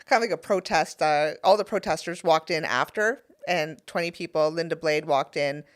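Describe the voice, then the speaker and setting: A woman's voice: low voice, normal speed. A Canadian woman talking as a podcast guest in casual conversation, telling her story in an earnest, emphatic way.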